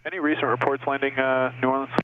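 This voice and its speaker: A man's voice: medium pitch, flat delivery, fast. A man working as an airline pilot, reading back instructions over aviation radio. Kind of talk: radio readbacks. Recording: tinny phone-like aviation radio, faint noise.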